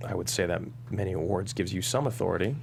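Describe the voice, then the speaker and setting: A smug, probing courtroom lawyer played by a man in a drama read, with a low voice full of gravel. The questions come pointed and skeptical, over faint noise. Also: fast, a little mellow. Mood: smug, pressing. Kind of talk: script table read.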